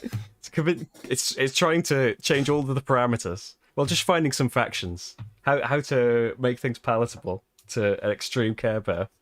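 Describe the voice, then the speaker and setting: A man's voice: mid-range voice, moderate pace, no room sound, clean studio sound. A British man hosting a live board game playthrough, chatting casually and laughing often at his own expense. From Britain. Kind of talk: casual live-stream chat.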